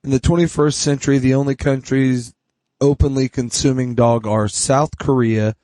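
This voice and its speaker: A man's voice: mid-range voice, steady tone, moderate pace, dark tone, dry recording. A man on a podcast chatting casually and reading facts aloud.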